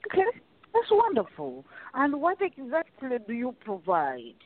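A woman talk show host, a native Rutooro speaker, chatting slowly with her guest and laughing often. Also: sits high, huge pitch swings. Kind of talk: warm-paced interview chat. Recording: tinny, phone-like and dry.

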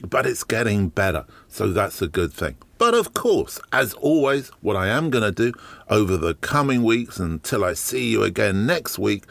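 A man hosting a podcast from his shed, with a slightly croaky voice and a chatty, wry manner full of ums and uhs. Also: fairly low pitch, sing-song, moderate pace, fairly bright tone, no echo. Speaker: podcast host. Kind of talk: casual solo podcast monologue. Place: garden shed.

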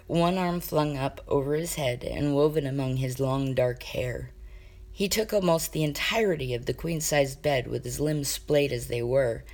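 A woman reading a comic story aloud as an expressive amateur narrator, with wry, dramatic emphasis. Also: very deep voice. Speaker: amateur fiction reader.

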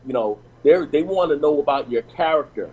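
A man's voice: fairly high pitch, lively intonation, a little fast, dark tone, highs cut off, slight background noise. A man on a discussion panel talking casually and telling personal stories, with lots of restarts and "you know what I'm saying.".

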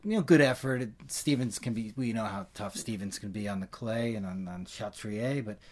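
A man breaking down tennis results in a casual podcast chat. His delivery is brisk and matter-of-fact, and he drops in stats as he goes. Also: on the low side, a little mellow. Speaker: podcast co-host.

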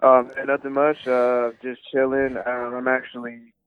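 This is a man in his thirties who calls in to a podcast and tells his stories in a relaxed, easygoing way. His voice comes through a dull, muffled phone line, and the sound is dry with no room around it. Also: medium pitch, normal speed, clear, resonant tone, dry recording. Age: 30s. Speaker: podcast guest on a phone call. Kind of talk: casual phone chat.

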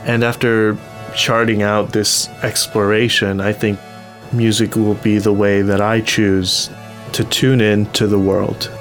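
A man's voice: fairly low pitch, little pitch movement, unhurried, fairly bright tone. A man, a student, reading a reflective, earnest closing narration. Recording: faint music underneath.

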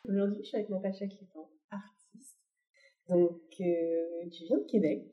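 A woman's voice: lowish voice, steady tone, moderate pace, highs cut off, music in the background. A woman hosting a casual interview, asking questions and giving short acknowledgements. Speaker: interviewer, podcast host.

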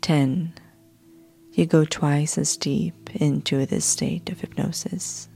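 A low-voiced woman leads a guided sleep meditation as a podcast host. She speaks very slowly and soothingly, with a creaky edge and soft, breathy phrase endings. Music plays behind her. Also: fairly even intonation. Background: background music.

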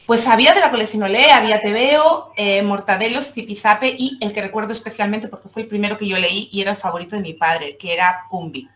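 A woman's voice: mid-range voice, fast talker, few pauses, fairly bright tone. A woman from Seville in Spain, chatting casually as a podcast guest and telling personal stories in a giggly manner. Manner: giggly, laughs often while talking. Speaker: podcast guest, comic artist. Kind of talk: casual chat, personal storytelling.

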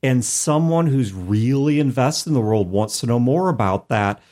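A man with a mid-pitched voice and highly varied, lively intonation talks as a podcast host. He is articulate and analytical, laying out his reasoning through examples in a casual discussion. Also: moderate pace. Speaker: podcast host discussing storytelling in role-playing games.

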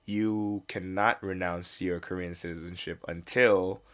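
A man's voice: sits low, steady tone, unhurried, a bit bright. An American-born man in casual podcast chat, joking and swearing freely. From United States.

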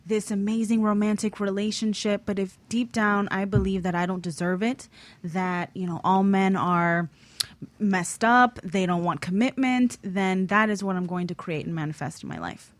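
A woman with a mid-pitched voice talks earnestly on a motivational talk show and laughs easily. The recording is dry and close. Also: normal speed, dry recording. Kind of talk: casual talk show discussion.

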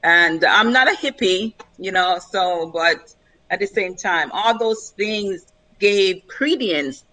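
A woman's voice: medium pitch, slow pace, pushed and bright, no room sound, low-quality recording. An older woman speaking casually and personally as a guest on a panel discussion.

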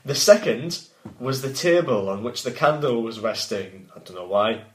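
A man's voice: medium pitch, expressive, moderate pace, background noise. A man reading his own gothic story aloud and breaking off to banter about it. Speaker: author and reader. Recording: roomy, echoey home recording.

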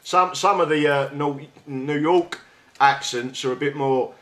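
A man's voice: fairly high pitch, measured pace, a bit bright. A man with a South London accent hosting a radio show, talking in a casual, hesitant way with many restarts. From England. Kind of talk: casual interview chat. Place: radio studio. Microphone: studio mic with big headphones.

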